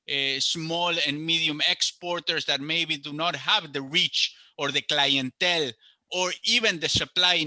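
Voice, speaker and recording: An economist from Argentina with an Argentine Spanish accent, answering interview questions in English and often stopping with fillers and repeated words. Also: male voice, on the high side, bright, forceful tone, dry recording. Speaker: economist guest.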